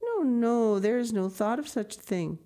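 A woman voicing a kindly, dignified wealthy lady who speaks warmly and firmly. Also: medium pitch, expressive, moderate pace, voice pushed up, close to an everyday voice. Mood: reassuring. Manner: warm, firm and reassuring.

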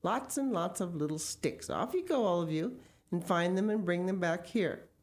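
A bossy, eager father from a children's storybook, played by a woman reader. He gives orders emphatically, with big swings in pitch. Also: lively intonation, moderate pace, close to an everyday voice. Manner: commanding, emphatic. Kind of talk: children's storybook character reading.

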